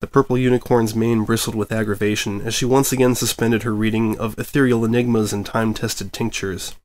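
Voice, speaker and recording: A man reading fan fiction as narrator, in an expressive scripted storytelling style. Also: lowish voice, fairly quick.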